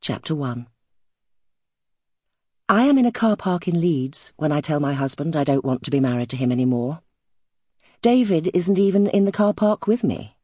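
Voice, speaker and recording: A woman narrating first-person literary fiction as an audiobook, in a wry, expressive storytelling manner. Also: low-pitched, normal speed, pauses often, breathy edge, no echo, studio quality.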